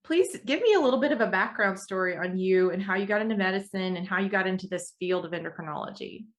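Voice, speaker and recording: A woman's voice: mid-range voice, animated, quick pace. A woman hosting an interview, asking eager questions with open enthusiasm. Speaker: podcast host.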